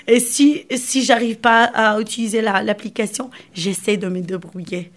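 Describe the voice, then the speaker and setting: A woman's voice: medium pitch, steady tone, moderate pace. A woman from Morocco whose first language is Arabic, speaking French in a casual interview, hesitant, repeating words and laughing often. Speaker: interview guest.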